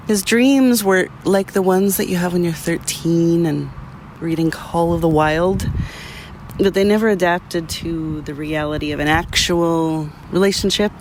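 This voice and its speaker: An actor playing an exasperated, practical person giving a judgmental, matter-of-fact account in an interview-style audio drama. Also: male voice, on the high side, gravelly, a little hiss or hum.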